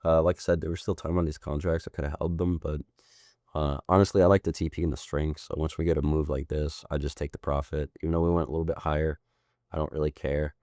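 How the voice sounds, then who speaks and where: A man working as a trading mentor, hoarse from being sick, walking through a chart in a loose, rambling way with frequent tag questions. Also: very deep voice, little pitch movement, quick pace, mellow, no room sound, studio quality. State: hoarse, sick and losing his voice. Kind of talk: casual chart walkthrough.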